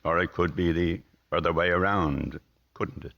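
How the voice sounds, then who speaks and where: Very deep, gloomy, sardonic salesman. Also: creaky, dull audio. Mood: dry, measured. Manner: formal, deadpan, measured, sardonic. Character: tall, gloomy, curt male car salesman, dryly superior. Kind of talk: radio drama acting.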